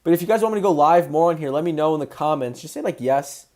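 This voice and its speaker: A man hosting a casual livestream, excitable and answering viewers' chat questions as he goes. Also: a little high, fast. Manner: excitable, voice rises when passionate.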